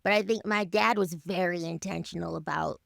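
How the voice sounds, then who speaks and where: A woman talks casually as an interview guest. Her voice is on the low side and thick with gravelly vocal fry, recorded close and dry. She tells stories in an animated way, acting out imagined quotes. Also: normal speed, no echo. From Harlem, New York, USA. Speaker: musician guest on a radio show.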